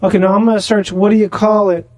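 A man making a deadpan prank phone call, rambling naively and slow to catch on. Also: high voice, dry recording. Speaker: prank caller on a comedy podcast.

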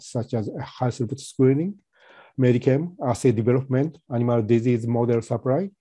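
Halting soft-spoken man presenting. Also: mid-range voice, breathy edge, no room sound. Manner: halting, many fillers and restarts. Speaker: company presenter. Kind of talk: webinar presentation.

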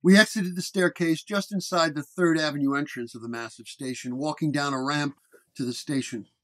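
A man's voice: a little high, fairly even intonation, normal speed, fairly bright tone. A man reading a scripted first-person detective story as its narrator. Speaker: narrator and reader.

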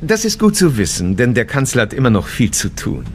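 A man's voice: medium pitch, huge pitch swings, fairly quick, slight background noise. An actor playing an officious, deferential male aide in a German radio drama.

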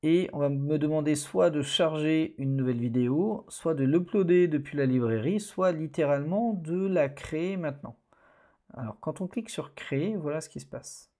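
A man talks through a screen-recorded tutorial in a relaxed, hesitant way, with many fillers, as an online course instructor. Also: a little high, normal speed.

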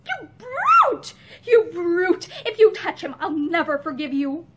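Woman acting an anguished, high-pitched pleading mother. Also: sing-song, fairly quick, fairly bright tone, exaggerated voice. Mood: sobbing fury. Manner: breathless, emotional pleading. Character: anguished, protective young mother, pleading and indignant. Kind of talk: dramatic storybook reading.

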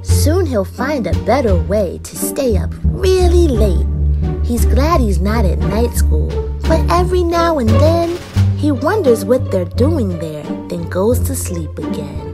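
Slow, animated, higher-voiced woman storyteller. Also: over loud music. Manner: expressive, deliberate storyteller delivery. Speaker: children's read-aloud show host. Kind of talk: expressive storybook narration and host talk for children.

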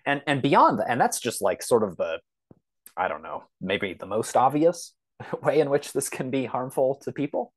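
A man's voice: mid-range voice, big pitch swings, normal speed, husky, no room sound. A man talking casually as a podcast guest, explaining things through examples and rambling asides. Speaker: podcast guest, science fiction author.

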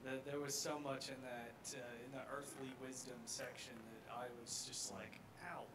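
A man's voice: medium pitch, flat delivery, moderate pace. A man in a church class joking about himself while sharing. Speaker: class member. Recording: echoey room, distant mic, noticeable noise.

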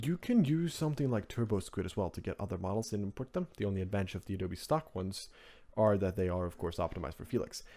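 Male tutorial host from Norway, non-native speaker, lowish voice, informal and rambling screen-recording narration. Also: dark tone. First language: Norwegian. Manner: rambling, offhand, informal asides. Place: indoors at a computer, screen recording voice-over.

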